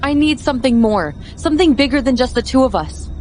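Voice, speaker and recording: An actress playing a determined, accusing young wife in an audio drama, insistent and reproachful. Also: female voice, sits high, lively intonation, brisk, a little mellow, a little hiss or hum, low-quality recording. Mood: insistent, hurt. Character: determined, accusing young wife who challenges her husband.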